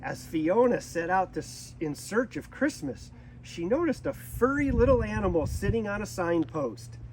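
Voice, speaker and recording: A man reading a children's storybook aloud in a deliberate manner, occasionally stumbling over a word. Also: high voice, big pitch swings, darkish tone, a little hiss or hum, low-quality recording. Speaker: zoo director reading a storybook.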